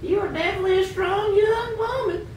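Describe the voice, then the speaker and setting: A woman's voice: very high voice, brisk. A woman playing an encouraging friend with modest hopes in an amateur puppet skit. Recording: echoey room, distant mic, faint noise.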